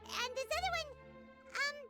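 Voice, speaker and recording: A woman's voice: extremely high pitch, moderate pace. A woman voicing a tiny floating childlike companion in an anime-style video game, chirpy, inquisitive and exclamatory. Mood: curious, puzzled. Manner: refers to self in third person, exclamatory. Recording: loud music behind the voice.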